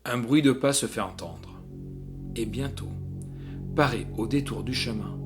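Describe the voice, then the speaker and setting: A man narrates a gothic tale over prominent guitar music. His mid-pitched voice is measured and dramatic, shaped like an expressive audiobook reading with swells and hushed drops. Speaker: audiobook reader.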